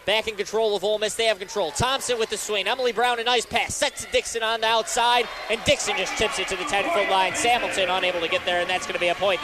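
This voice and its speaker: A man's voice: high voice, steady tone, fast, few pauses, noisy background. A young man calling live volleyball play-by-play as a radio announcer.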